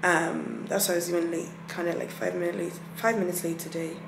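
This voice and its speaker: A young woman in her twenties talks straight into her phone at arm's length, rambling through her day with a tired, laughing ease. Her voice sits a little low. The room gives it a noticeable echo, with steady noise behind her. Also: fairly quick, vocal fry. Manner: chatty, rambling, laughs often. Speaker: vlogger. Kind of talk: casual vlog chat to camera. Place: indoors at home, bedroom. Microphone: phone held at arm's length.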